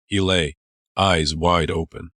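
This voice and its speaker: A man narrating a dramatized audiobook as a storyteller, measured and suspenseful. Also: low voice, fairly even intonation, a bit bright, slight background noise.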